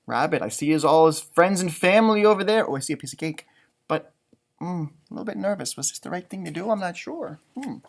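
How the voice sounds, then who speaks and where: A male teacher reads a picture book to young children in a very animated voice. His pitch moves widely as he slips into chatty asides, wondering aloud and repeating himself. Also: fairly quick, gravelly. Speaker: elementary music teacher reading a storybook aloud.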